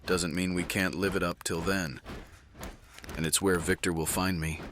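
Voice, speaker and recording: A man plays a world-weary human commander in a fantasy audio drama. His very deep voice is measured and earnest, with dry wit. Noise is audible behind it. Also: little pitch movement, natural voice. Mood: earnest, wistful. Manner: measured, earnest, dryly wry. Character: world-weary mortal military commander, devoted widowed father.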